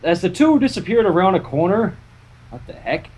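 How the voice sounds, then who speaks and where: A man's voice: high voice, sing-song, normal speed, darkish tone, breathy edge. A man co-hosting and narrating a live fan fiction reading, with casual banter between passages. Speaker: narrator and co-host.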